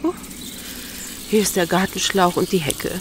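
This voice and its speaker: A woman's voice: lowish voice, normal speed, background noise, close to an everyday voice. A woman voicing a cheerful old grandmother who mishears what she is told, in a children's radio play. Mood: chatty. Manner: mishears words, chuckling.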